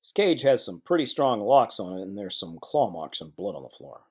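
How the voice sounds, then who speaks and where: An actor playing a gruff, no-nonsense police chief in a noir audio drama, brusque and businesslike. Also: male voice, lowish voice, big pitch swings, moderate pace, a little mellow, soft background music. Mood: impatient, commanding.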